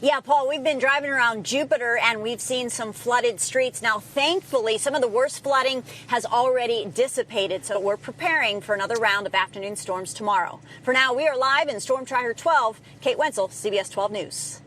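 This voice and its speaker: A woman's voice: fairly high pitch, moderate pace, few pauses, fairly bright tone, slight background noise. A woman with an American accent giving a live weather report from a vehicle. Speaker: TV meteorologist. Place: in a storm-tracking vehicle.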